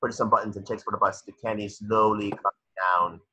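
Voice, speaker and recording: A man's voice: on the low side, steady tone, fairly quick, pushed and bright, slightly rough, rough recording. A man narrating a cartoon script's stage directions in a matter-of-fact way, sometimes stumbling over the words. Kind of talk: reading a cartoon script aloud.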